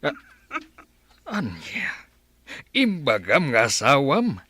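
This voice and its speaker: Disapproving father, Ilocano radio drama, drawn-out delivery with big swings; male actor. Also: medium pitch, huge pitch swings, pushed and bright, slightly rough, dry recording, studio quality, exaggerated voice. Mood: stern, questioning. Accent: Filipino, Ilocano. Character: gruff, disapproving father of grown daughter.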